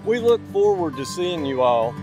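A middle-aged man with a fairly high voice speaks to camera for a church vlog. His delivery is earnest and scripted, built in measured, preacherly phrases. Instrumental music plays clearly behind his words. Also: expressive.

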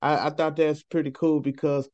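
A man with a light, fairly high voice speaks on a video call. He tells stories in an easygoing way. The audio is dry and a little dull, like lo-fi call sound. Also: flat delivery, normal speed, darkish tone, no echo. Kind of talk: casual interview chat.

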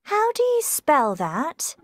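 A lively young student girl. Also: high voice, no echo, clean studio sound, full character voice. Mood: plain. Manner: slow, careful spelling out letters. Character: 18-year-old girl from Hungary, friendly student. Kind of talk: scripted textbook dialogue.

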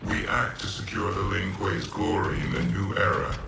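A cold cyborg commander in a low, heavily gravelled voice. His formal, mechanical game lines echo amid clear background noise. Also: moderate pace, far from the mic. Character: cold, loyal male cyborg ninja commander. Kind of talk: dramatic video game acting. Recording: echoey, noisy machinery ambience.

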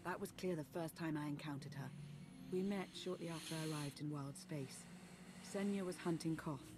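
An actress playing a composed, guarded woman ally in a space saga video game, speaking in a measured, earnest way. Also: low voice, background noise, close to an everyday voice. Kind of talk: video game dialogue acting. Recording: game audio with music.

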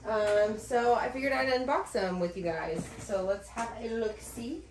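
A woman in her thirties chatting in a casual vlog as she unboxes packages in a home office, gushing and excited, with lots of fillers. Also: fairly high pitch, a little slow, recorded from a distance, a little hiss or hum. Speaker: vlogger. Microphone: camera across a desk. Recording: roomy sound from off the mic.

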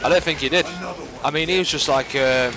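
A man's voice: fairly high pitch, a little fast. A British man chatting casually and informally with viewers while he plays a game. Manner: chatty, informal, drifting between game and chat. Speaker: game streamer. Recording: very noisy stream, game sound behind.

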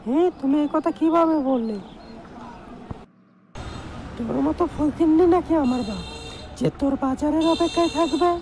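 A woman's voice: sits high, moderate pace, pauses often, warm tone, a little hiss or hum, natural voice. A woman playing a bossy, hot-tempered village wife in a comic cartoon, scolding with a Bangladeshi Bengali accent. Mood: angry, threatening. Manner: scolding, demanding.

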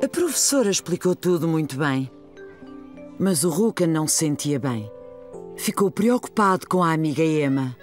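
A woman narrating a children's cartoon as a gentle storyteller, with a European Portuguese accent. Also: low-pitched, big pitch swings, normal speed, music in the background. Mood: gentle storytelling.

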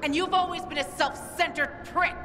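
A woman's voice: extremely high pitch, fairly even intonation, normal speed, background noise. An actress playing a tough, blunt, bitter young woman fighter in a video game. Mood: defiant. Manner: blunt, defiant. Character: tough, foul-mouthed, bitter female fighter in a ruined world. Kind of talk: cinematic video game acting. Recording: dramatic game music behind.

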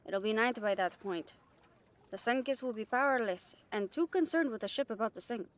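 A woman's voice: a little high, lively intonation, normal speed, natural voice. A woman playing a fierce, loyal catgirl pirate first mate in a roleplay audio drama, earnest and plain-spoken. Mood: determined, defiant. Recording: voice over background music. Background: music.

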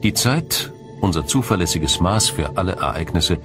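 Deep-voiced man narrating a documentary over music. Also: expressive. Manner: measured, explanatory storytelling.

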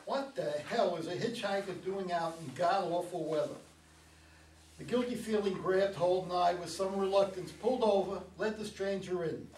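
A man's voice: high-pitched, normal speed, a little mellow, natural voice. An older man playing a weary, uneasy driver, reflective and wry, in a staged play reading. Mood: storytelling. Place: meeting room, around a table. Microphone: far camera. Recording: distant mic, echoey room, background noise.

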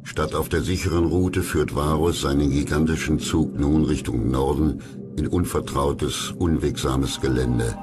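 A man reading scripted history documentary narration in a measured, grave manner. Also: very deep voice, steady tone. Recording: loud music under narration.

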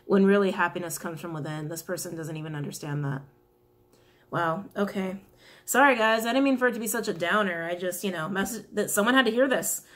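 A young woman with a raspy, slightly worn voice reads tarot cards straight to the camera. She talks casually and chattily, often laughing and apologizing along the way. She is recorded in a small indoor room. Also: fairly low pitch, fairly quick, a bit bright. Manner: chatty, giggly, apologetic asides. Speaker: tarot reader.

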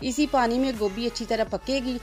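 A woman's voice: fairly high pitch, steady tone, a little fast, darkish tone, soft background music. A woman, hosting a cooking channel and narrating each step politely in a voice-over. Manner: step-by-step, polite, religious greetings.

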